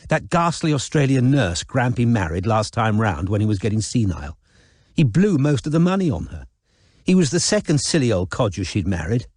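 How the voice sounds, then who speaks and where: A man's voice: medium pitch, very animated, fairly quick, a little mellow, no echo, studio quality. A male narrator voicing a chatty, upper-class young woman in an audiobook. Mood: gossipy.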